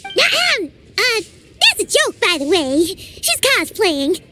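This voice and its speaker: A chirpy, blunt little fairy companion speaks in a very high, squeaky, childlike voice. She talks slowly, drawing out her words, and her pitch leaps around a lot. Loud game music plays behind her. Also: very animated, exaggerated voice. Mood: chatty. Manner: talks about herself in the third person. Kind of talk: game voice acting.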